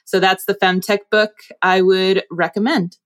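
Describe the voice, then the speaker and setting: A woman from New Jersey in her thirties, a bubbly investor talking as a podcast guest, her voice leaping up when she quotes people. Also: a little slow. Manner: bubbly, animated, jumps up in pitch when quoting people. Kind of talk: podcast interview.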